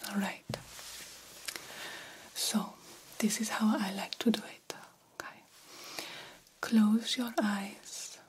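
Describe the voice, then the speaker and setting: Slow whispering vampire doctor, played by a woman. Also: mid-range voice, flat delivery. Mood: soothing, solemn. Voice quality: breathy whisper. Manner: slow, formal, measured, gently encouraging. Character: formal, reassuring female vampire doctor, proud and vain. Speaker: ASMR roleplay performer. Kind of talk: ASMR roleplay, whispered personal attention.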